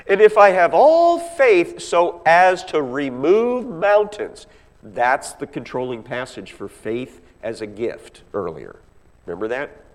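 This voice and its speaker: A man teaching from the Bible in an emphatic, conversational way, often putting rhetorical questions to his listeners. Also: mid-range voice. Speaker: Bible class teacher or preacher. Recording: echoey hall with long reverb.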